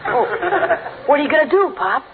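Crackly, narrow old radio sound carries an American kid in a family comedy. The voice is very high and swoops up and down, earnest and pleading. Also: male voice, very animated, a little fast, heavily acted. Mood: anxious. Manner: naive, pleading. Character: earnest, trusting young American boy, son. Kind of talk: 1940s radio comedy acting. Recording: scratchy, noisy, narrow-band old radio recording.

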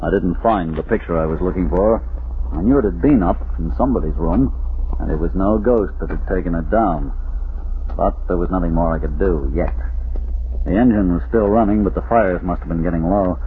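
An actor playing a tough, hard-boiled shipboard investigator, narrating a vintage radio thriller in terse, dramatic first person. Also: male voice, low-pitched, a little fast, lightly acted. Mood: suspenseful. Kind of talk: 1940s-50s radio thriller acting and narration. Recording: noisy, narrow-band vintage radio recording.

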